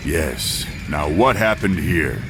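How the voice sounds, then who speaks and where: An actor playing a blunt, confident ancient Greek soldier in a video game cutscene. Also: male voice, rumbling low, expressive, slow pace, over music, full character voice. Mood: questioning.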